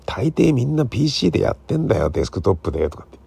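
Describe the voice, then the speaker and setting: A man's voice: mid-range voice, very animated, very fast, gravelly, warm tone, slightly rough. A man in his sixties talking casually on his own as a podcast host, musing and rambling in a colloquial way. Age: 60s. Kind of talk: casual solo free talk.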